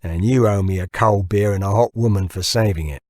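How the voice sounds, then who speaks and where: A man voicing a burly, bossy diver in an audiobook, gruff and throaty, blunt and sweary in his banter. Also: fairly low pitch, a little fast, no echo, studio quality, lightly acted. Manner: blunt, sweary, shouting orders.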